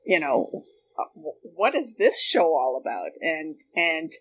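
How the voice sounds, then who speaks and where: A woman with a Canadian accent chatting casually and telling stories in an animated way, as a guest looking back. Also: deep voice, unhurried, low-quality recording. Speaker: guest, former radio worker. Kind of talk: casual reminiscing conversation. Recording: noticeable background noise.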